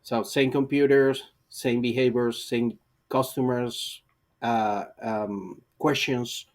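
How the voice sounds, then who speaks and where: A middle-aged man from Cuba with a Cuban Spanish accent, a non-native English speaker, telling his story as an interview guest with many pauses and fillers. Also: medium pitch, steady tone. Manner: halting, searching for words, many fillers. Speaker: guest, IT professional. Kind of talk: interview answers.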